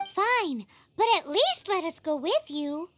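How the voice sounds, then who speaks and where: A woman voicing a tiny childlike fairy companion in a video game, squeaky, earnest and plucky. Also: very animated, slow pace, a bit bright, exaggerated voice. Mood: concerned.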